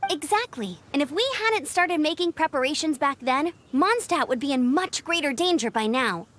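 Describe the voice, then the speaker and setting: A woman's voice: high-pitched, moderate pace, a little hiss or hum. A woman playing a spirited, earnest young scout in an anime-style fantasy video game. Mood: earnest, serious. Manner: perky, earnest, expressive.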